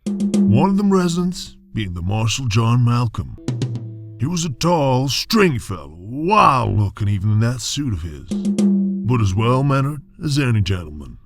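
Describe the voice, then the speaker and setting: An actor playing a folksy old-west storyteller, narrating a western radio serial in a wry manner. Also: male voice, medium pitch, very animated, measured pace. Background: music behind the voice.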